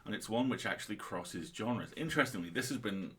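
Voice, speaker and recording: A man's voice: lowish voice, animated, a little fast, a bit bright. A man co-hosting a casual literary discussion, speaking in an enthusiastic, articulate and opinionated way. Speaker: co-host of a story reading livestream.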